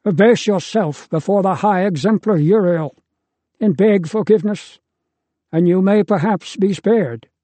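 A man's voice: high voice, unhurried, warm tone, no echo, pitched above the performer's own voice. A man doing a fervent, preaching old father. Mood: stern. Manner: impassioned, preaching. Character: elderly male father, pleading and fervent.

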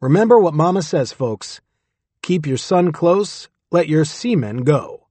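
A man's voice: high-pitched, big pitch swings, normal speed, husky, no echo, full character voice. A man voicing a brash, provocative man in his thirties who rants and mocks on a stage. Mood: ranting. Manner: ranting, mocking.

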